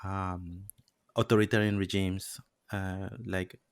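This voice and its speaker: A man's voice: deep voice, flat delivery, slow pace, clean tone, no room sound, clean studio sound. A man from Peru hosting a podcast, chatting casually with many fillers and restarts. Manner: rambling, many fillers and restarts, laughs often. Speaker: podcast host. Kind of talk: casual interview chat.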